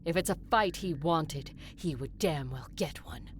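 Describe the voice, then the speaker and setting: A woman's voice: sits low, animated, measured pace. A woman playing a battle-hardened, determined vampire conqueror who narrates and argues bluntly in an audio drama. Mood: intense narrating. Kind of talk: audio drama acting and first-person narration. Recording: clear background noise, ambience.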